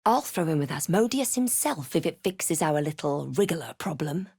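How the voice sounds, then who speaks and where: A woman playing a wry, wary halfling adventurer in a fantasy video game, with a measured, dramatic delivery. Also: low-pitched, lively intonation, creaky, darkish tone, slightly rough, dry recording, clean studio sound, exaggerated voice. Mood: wry, watchful. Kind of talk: scripted video game voice lines and narration.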